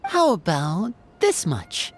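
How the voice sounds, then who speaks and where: An actress playing a composed, serious adventurer in a video game, formal, polite and measured. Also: female voice, on the low side, wildly swooping pitch, faint music behind, exaggerated voice. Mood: courteous. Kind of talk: anime-style video game acting.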